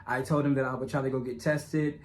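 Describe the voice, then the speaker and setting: A man's voice: mid-range voice, flat delivery, fairly quick, slight background noise. A man with a stutter and a speech impediment, narrating his own documentary as a casual, emphatic monologue aimed at his viewers, often repeating himself. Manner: repetitive, emphatic, talks straight to viewers. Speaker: self-made documentary narrator. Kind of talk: casual direct-address monologue.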